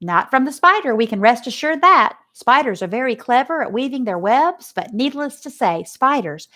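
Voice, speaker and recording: A woman reading aloud and voicing a pompous male fair loudspeaker announcer with a grand, booming delivery. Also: very animated, moderate pace.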